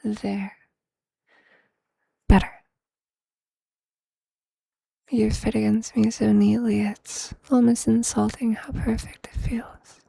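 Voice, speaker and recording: Someone doing a possessive tender vampire lover, asmr roleplay, whispery n seductive, slow with some gravelly fry. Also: medium pitch, flat delivery, heavily acted, low-quality recording. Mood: possessive, fond. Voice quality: breathy, whispery. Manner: slow, hushed, seductive, affectionate, formal wording.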